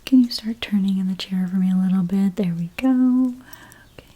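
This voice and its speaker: A woman whispering breathily in an ASMR role play as a hairstylist, gently and unhurriedly narrating each step. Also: mid-range voice, brisk.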